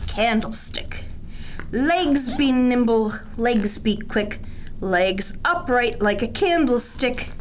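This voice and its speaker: High, lilting woman leading children's bedtime yoga. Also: animated, takes it slowly, a little hiss or hum, rough recording. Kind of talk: sing-song picture-book reading and gentle instruction for young children. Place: indoors, small draped space, lying on the floor.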